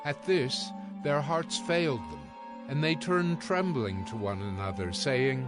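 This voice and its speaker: Solemn male narrator over clear music. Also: mid-range voice, big pitch swings, unhurried. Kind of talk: scripted scripture narration. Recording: music behind the voice.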